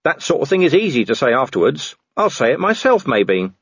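A man's voice: mid-range voice, very animated, a little fast, fairly bright tone, husky, no echo, lo-fi sound, pitched above the performer's own voice, exaggerated voice. A man voicing a grim, weary male city watch commander who talks in a bitter growl.

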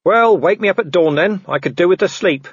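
A man voicing a gruff, defiant middle-aged policeman in an audiobook, with a sardonic, shouting manner. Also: high voice, animated, moderate pace, dry recording, lo-fi sound, voice pushed up.

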